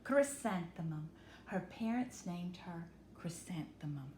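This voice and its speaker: A woman reading a picture book aloud to children in an expressive, instructive teacher's manner, dwelling on key words. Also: lowish voice, takes it slowly. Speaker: storytime reader and teacher. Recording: roomy echo with faint background noise.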